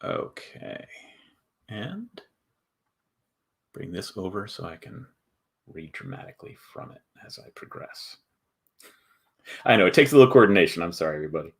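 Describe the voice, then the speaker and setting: A man hosting a casual livestream, explaining in a halting way and thinking aloud as he goes. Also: low voice, sing-song, creaky, breathy edge. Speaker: host, board game video maker.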